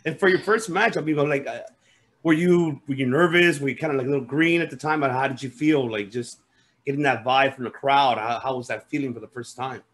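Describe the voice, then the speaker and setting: A man's voice: medium pitch, fairly quick, a bit bright. A man hosting a podcast, asking loose, repetitive questions with many fillers. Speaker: podcast host. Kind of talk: casual interview questions.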